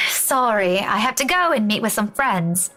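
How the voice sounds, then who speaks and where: A woman's voice: medium pitch, lively intonation, measured pace, sharp tone, soft background music. An actress voicing a friendly, apologetic young woman with a sheepish manner in a video game.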